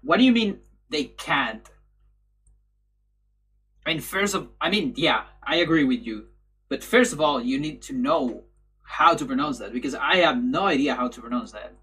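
A man's voice: medium pitch, animated, normal speed, pauses often, bright, forceful tone, husky, rough recording. A young man whose first language is Spanish, chatting casually with stream viewers from his bedroom in rambling, self-correcting English and laughing easily. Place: bedroom, indoors.

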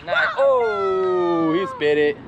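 A man in his thirties vlogging while fishing outdoors, chatting and coaching in a casual, excited way. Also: high voice, extremely slow. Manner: excited, chatty, hushed when stalking fish. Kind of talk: casual vlog narration and coaching. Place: outdoors by a canal, sometimes in a car. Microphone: action camera. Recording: outdoor camera audio with wind and traffic noise.

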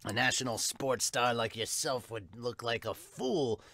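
A man's voice: mid-range voice, measured pace, pushed and bright. A man voicing a cruel, scheming mouse henchman from a manga, with a sneering, goading delivery.

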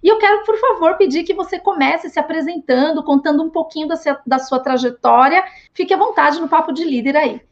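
A woman's voice: sits high, a little fast, hardly any pauses. A woman in her forties hosting a live leadership interview over a webcam video call, encouraging and grateful toward her guest. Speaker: host of a leadership live stream. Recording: dull, muffled video call audio.

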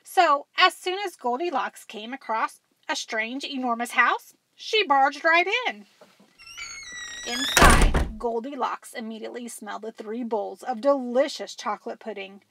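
An American woman in her forties reading a children's story aloud as a school librarian, animated and emphatic. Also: fairly high pitch, a little slow, noisy background. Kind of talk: expressive storybook read-aloud.

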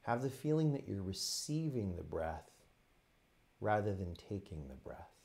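A man with a fairly low voice guides a meditation. He speaks gently and without hurry, setting out short phrases with pauses between them, as a teacher leading students through a practice. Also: sing-song, creaky.

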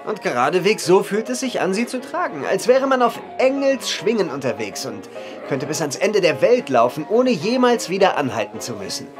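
A stubborn, boastful man in an audio drama. His delivery is theatrical and exclamatory, and his pitch leaps about wildly. Music plays clearly behind him. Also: big pitch swings, normal speed. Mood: enraptured. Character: boastful, stubborn male runner in a wager. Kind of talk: German audio drama acting.

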